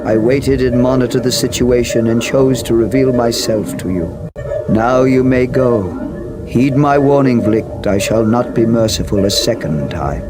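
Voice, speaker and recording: An actor playing an ancient, stern and calm alien machine intelligence in a video game, speaking in formal, measured proclamations. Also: male voice, mid-range voice, natural voice. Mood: calm, superior. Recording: loud music under the voice.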